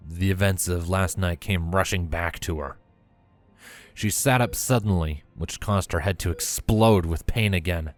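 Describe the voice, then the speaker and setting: A man's voice: deep voice, measured pace. A man reading scripted story narration as the narrator of a fan fiction tale. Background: faint music.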